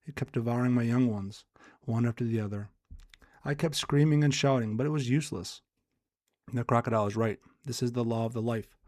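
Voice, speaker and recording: A man's voice: medium pitch, brisk, darkish tone, dry recording, studio quality, lightly acted. Man reading as lamenting storybook bird. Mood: bitter, anguished. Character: bitter, grieving parent bird of a fable.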